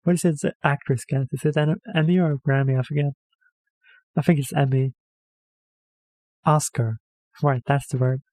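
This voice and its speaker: Man, mellow voice, dry sound, hesitant rambling reaction host. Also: a little high, steady tone, normal speed, long pauses, no room sound, low-quality recording. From Norway. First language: Norwegian. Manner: hesitant, rambling, self-correcting with many fillers. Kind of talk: casual rambling commentary.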